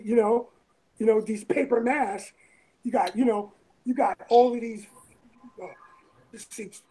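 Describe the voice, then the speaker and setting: Raspy, husky New woman. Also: fairly high pitch, warm tone, low-quality recording. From Brooklyn, New York. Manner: rambling, emphatic, repeats phrases. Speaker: interviewee, former firefighter and EMT. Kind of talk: casual interview talk.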